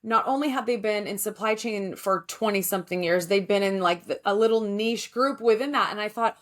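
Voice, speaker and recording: Woman in her 30s hosting a podcast, upbeat and chatty, mid pitch voice. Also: brisk. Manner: upbeat, chatty, frequent affirmations. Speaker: podcast host. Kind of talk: casual interview.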